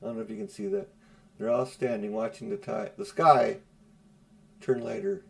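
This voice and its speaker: A middle-aged man reading a children's novel aloud in a measured storytelling way, with dramatic emphasis. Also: mid-range voice, very animated, vocal fry. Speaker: audiobook reader. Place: small room at home.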